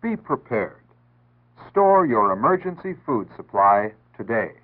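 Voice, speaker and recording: American man, 1960s PSA narration, formal instructive delivery, animated pitch movement, narrow phone-like vintage recording. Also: measured pace. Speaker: public service announcer. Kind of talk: 1960s scripted civil defense announcement narration. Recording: tinny band-limited 1960s radio-style archive audio.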